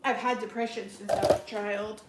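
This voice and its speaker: A woman's voice: mid-range voice, fairly even intonation, fairly quick. A young woman chatting on a livestream with her viewers, sounding stuffed up and rambling with many restarts and fillers. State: stuffed-up nose. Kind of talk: casual livestream chat with viewers. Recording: echoey room with clear background noise.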